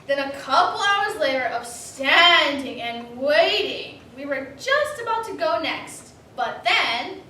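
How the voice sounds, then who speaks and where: Very high-voiced young woman, animated storytelling. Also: slow pace, hardly any pauses. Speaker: reader's theater performer. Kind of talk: staged personal comic monologue. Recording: echoey room, distant mic, faint noise.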